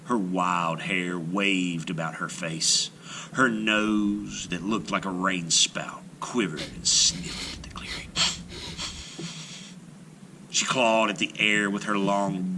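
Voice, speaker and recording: A man reading a children's folktale aloud as scripted narration, with dramatic delivery and hushed phrase endings. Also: low-pitched, a little hiss or hum. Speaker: storyteller, children's read-aloud host. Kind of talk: expressive scripted storybook narration.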